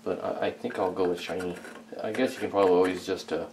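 Guy w a low crackly voice kinda thinking out loud in his workshop, bit of noise and rubbing behind him. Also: fairly even intonation, fast talker. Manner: hesitant, thinking aloud. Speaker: hobbyist restorer, video host. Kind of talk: casual hands-on workshop chat. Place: small indoor home workshop.

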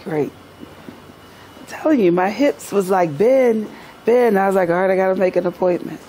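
A woman's voice: mid-range voice, moderate pace, dark tone, a little hiss or hum, poor audio. A middle-aged woman bantering casually and teasingly as a client on a treatment table. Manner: joking, teasing. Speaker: chiropractic client. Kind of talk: casual banter during a session. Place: large indoor treatment studio, lying on table.

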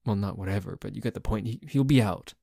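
Man acting a dorky, whiny, jealous tsundere boyfriend, mellow. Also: fairly low pitch, fast, vocal fry, slightly rough, no echo, studio quality. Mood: exasperated, pleading. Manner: whiny complaining, stammering, sometimes dropping to whispers. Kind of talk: ASMR roleplay audio drama.

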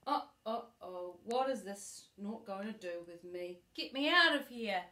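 A woman's voice: medium pitch, animated, a little slow, pushed and bright, roomy sound. A woman voicing a small lost baby bird, a young male, pleading and earnest as he searches for his mother in a storybook. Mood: alarmed.

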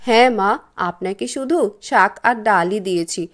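A woman voicing a curt, grudging young housewife in a cartoon, with a brisk, scolding manner. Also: medium pitch, close to an everyday voice. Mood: slyly dismissive. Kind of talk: cartoon voice acting.

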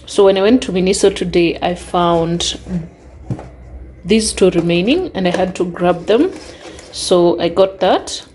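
A woman's voice: medium pitch, a little mellow, slight background noise. A young adult woman with a Kenyan accent, chatting casually through a shopping haul voice-over and listing items one by one. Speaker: vlogger.

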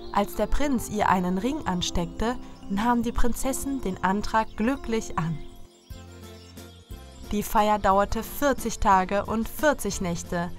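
Woman narrating fairy tales over faint music. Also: mid-range voice. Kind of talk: scripted fairy tale narration for children.

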